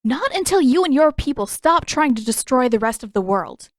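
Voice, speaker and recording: A woman's voice: high voice, big pitch swings, normal speed, no room sound, clean studio sound, voice pushed up. A woman voicing a hot-tempered teenage girl who is defiant and sarcastic, in an audio fan fiction story. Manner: sarcastic, indignant.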